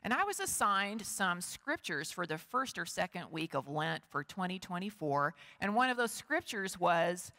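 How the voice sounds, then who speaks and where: Middle-aged woman pastor, measured and expressive, average pitch, long church reverb. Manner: measured, expressive reading with slow emphatic pauses. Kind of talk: scripture reading and sermon. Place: large wood-paneled church sanctuary. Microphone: lectern and stand microphone, far camera.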